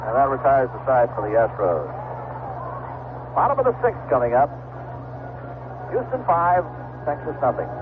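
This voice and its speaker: A man's voice: mid-range voice, huge pitch swings, normal speed, pauses often. A man calling baseball play-by-play live on a noisy, tinny 1970s radio broadcast. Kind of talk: live radio sports commentary. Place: ballpark broadcast booth.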